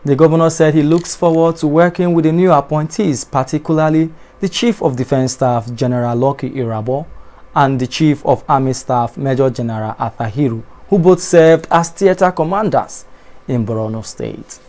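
A man's voice: fairly high pitch, darkish tone. Man reading TV news. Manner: measured formal newsreading, dipping at sentence ends. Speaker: newscaster. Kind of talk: read news bulletin. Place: TV studio in front of a backdrop.

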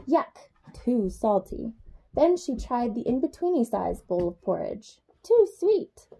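Slow, high-voiced young woman reading to children. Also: creaky, husky. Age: young adult. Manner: expressive, exclaiming, addressing young children. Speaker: childcare supervisor reading a bedtime story. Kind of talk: children's picture book read-aloud.

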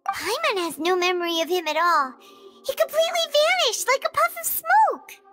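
A woman playing a tiny, perky, childlike fairy companion in a video game, with a squeaky manner. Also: big pitch swings, normal speed, over music. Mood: puzzled, uneasy. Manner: squeaky, refers to herself in third person. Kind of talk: scripted video game dialogue.

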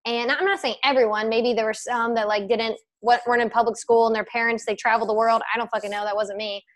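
A woman of twenty-five co-hosting a casual podcast over a video call, talking through earbuds at home, animated and given to swearing. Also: fairly high pitch, fast. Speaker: podcast co-host. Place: home room with bookshelf, indoors.

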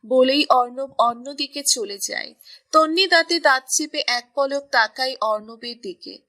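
Bright, high-voiced woman storyteller. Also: unhurried. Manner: even, storytelling. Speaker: story narrator. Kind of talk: scripted romantic story narration.

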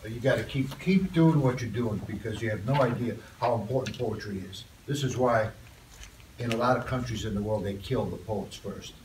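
Older man, guest poet, soft conversational storytelling, distant, roomy classroom, loud background noise. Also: on the low side, moderate pace. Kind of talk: poetry reading and chat. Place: school classroom, indoors. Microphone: far phone camera. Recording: roomy, distant, noisy phone recording.

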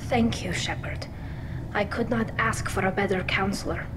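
A woman's voice: medium pitch, fairly even intonation, moderate pace, background noise, lightly acted. An actress voicing an earnest, emotional young female alien in a dramatic video game scene. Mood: anxious, grateful.

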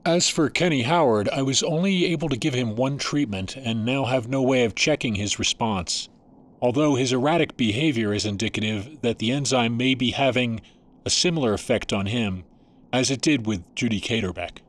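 An actor playing an evasive scientist-doctor in an audio drama, speaking in a measured, formal, clinical way. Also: male voice, medium pitch, lightly acted. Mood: clinical, absorbed. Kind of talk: dramatic audio fiction acting.

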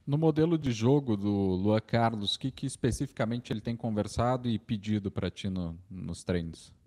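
A man's fairly low, mellow voice puts long, detailed questions to a player at a press conference. He speaks as a working sports reporter. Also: moderate pace, slight background noise.

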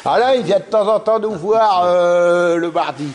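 A man behind the camera casually interviewing judo club members, lively and prompting, often tagging questions onto what he says. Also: sits high, unhurried. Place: large indoor judo dojo. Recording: echoey hall, faint noise.

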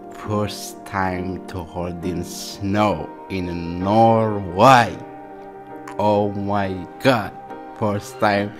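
A man from Algeria in his thirties hosts a reaction video, gushing in simple English and repeating his exclamations. His voice sits low. He sits close to a webcam mic in a small room. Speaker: reaction channel host. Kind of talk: excited reaction commentary. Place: small indoor room before a dark backdrop.

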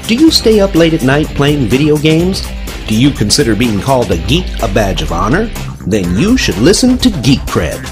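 A man's voice: medium pitch, huge pitch swings, moderate pace. A man announcing a scripted podcast promo. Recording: guitar music behind the voice.

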